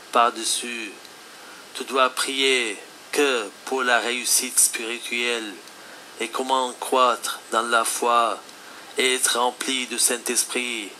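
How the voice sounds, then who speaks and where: A man's voice: mid-range voice, bright, forceful tone, slight background noise. A man playing a stern, fatherly Jesus, teaching solemnly in a scripted religious phone-call sketch. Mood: solemn instructing. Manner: solemn, admonishing, deliberate.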